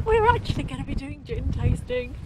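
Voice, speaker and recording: A woman travel vlogger chatting casually and playfully in an excitable way on holiday. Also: high-pitched. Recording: loud noise, outdoor travel camera audio.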